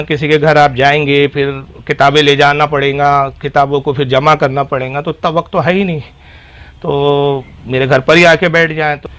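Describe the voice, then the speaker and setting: Man, slightly high voice, formal articulate diction, sarcastic cleric telling his side of a dispute. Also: fairly bright tone. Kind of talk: recounting a dispute on a phone call.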